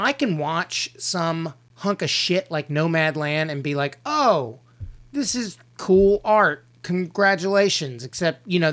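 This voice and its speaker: A man's voice: a little high, a bit bright. Blunt Southern man ranting about movies. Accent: Southern American. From American South. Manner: blunt, opinionated, quick to rant. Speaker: podcast co-host; actor. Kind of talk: casual movie review chat.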